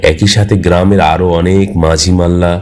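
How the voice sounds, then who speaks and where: A man's voice: low voice, steady tone, fairly quick, a little mellow, faint music behind. A man reads a scripted story as narrator.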